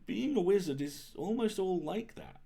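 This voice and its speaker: Man voicing a chatty girl baker wizard, gravelly. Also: high voice, moderate pace, voice pushed up, full character voice. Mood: wry, chatty. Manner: confiding, expressive first-person storytelling.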